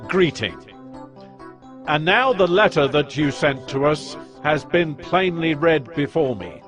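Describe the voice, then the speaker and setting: Male actor as stern ancient king, regal and commanding, very slow delivery, biblical audio drama over music. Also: a little high, lightly acted. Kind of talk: dramatized scripture reading. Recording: music behind the voice.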